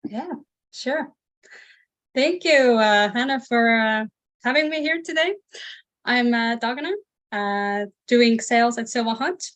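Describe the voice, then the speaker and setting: Woman guest from sales, fairly high voice, halting delivery with lots of uhs. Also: animated, a bit bright. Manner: hesitant, many uhs. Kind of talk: webinar presentation.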